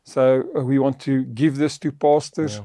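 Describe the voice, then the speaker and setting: Gravelly, mellow man. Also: medium pitch, fairly even intonation, unhurried, dry recording. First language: Afrikaans. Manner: earnest, preacherly, teaching tone. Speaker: podcast co-host.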